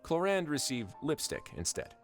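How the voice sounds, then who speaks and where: An actor playing a suave, sardonic prison warden in a video game, speaking with wry authority. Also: male voice, on the high side, very animated, normal speed, soft background music. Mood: matter-of-fact.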